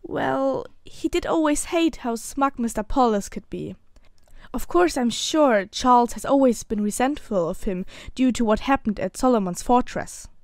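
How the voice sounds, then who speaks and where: A woman's voice: on the high side, moderate pace, dry recording, clean studio sound, close to an everyday voice. A woman playing a proper, guarded young wife in an audio drama, speaking formally. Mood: composed.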